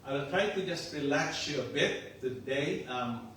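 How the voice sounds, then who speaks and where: Older Filipino pastor in an echoey hall. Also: male voice, on the high side, lively intonation, measured pace, fairly bright tone. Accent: Filipino with some Australian. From Philippines. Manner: joking and chatty, laughs often. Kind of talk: casual sermon. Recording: echoey far-off room mic with noise.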